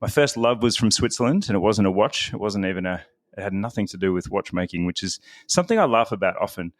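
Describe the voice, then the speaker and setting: A man's voice: lowish voice, lively intonation, quick pace, no echo. A man, a podcast guest talking casually and laughing often at himself. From Australia. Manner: chatty, self-deprecating, laughs often.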